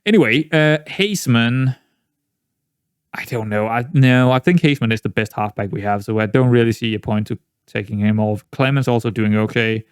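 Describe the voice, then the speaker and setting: A young man with a Danish accent, a native Danish speaker, chatting casually through a football management game, rambling with many restarts and jumping into excited outbursts. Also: medium pitch, moderate pace, clean tone, no echo, clean studio sound. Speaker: gaming YouTuber.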